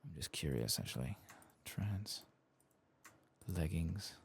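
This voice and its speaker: A soft-spoken man with an extremely deep voice and heavy vocal fry hosts a gaming ASMR session. He talks in a casual, understated, musing way, with his pitch moving freely as he comments on play. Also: animated, slow, a little hiss or hum.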